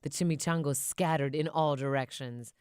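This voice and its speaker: A woman narrating a children's audiobook in an expressive storybook style. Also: deep voice, normal speed, smooth, no echo, studio quality.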